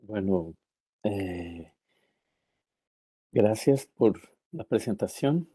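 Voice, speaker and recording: A man with a very low, dark voice and a Brazilian Portuguese accent, commenting in an academic discussion. He talks slowly, with frequent fillers, searching for words in a language that is not his own. The sound is dry and close. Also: expressive, slightly rough, dry recording.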